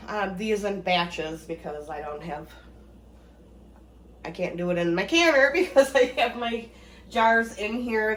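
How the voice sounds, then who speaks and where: Slow, congested woman hosting a cooking lesson. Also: mid-range voice, roomy sound, slight background noise, poor audio. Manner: relaxed, chatty, laughs easily. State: congested from a cold. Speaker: home canning channel host. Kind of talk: casual cooking tutorial.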